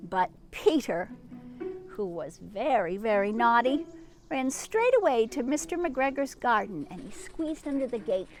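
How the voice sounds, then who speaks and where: A woman reading a children's story aloud in an expressive, teacherly way, with chatty asides and questions to young listeners. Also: a little high, measured pace, over music. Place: outdoors in a garden.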